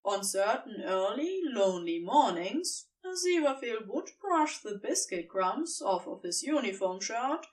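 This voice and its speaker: A woman reads a story aloud in a fairly high voice. Her pitch moves in lively swings, and she takes her time with each phrase as an audiobook narrator. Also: distant mic, poor audio. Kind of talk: scripted fiction narration.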